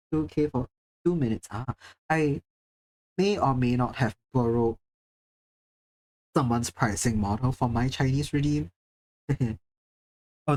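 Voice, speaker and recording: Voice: mid-range voice, darkish tone, low-quality recording. A livestreamer from Singapore chatting casually with viewers, chatty and self-deprecating, swearing now and then. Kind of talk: casual live-stream chat, reading chat.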